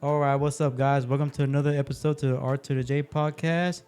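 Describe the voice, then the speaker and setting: A man's voice: mid-range voice, flat delivery, quick pace, loud music behind the voice. A man hosting a podcast in an informal, conversational interview style. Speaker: podcast host. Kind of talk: casual interview chat.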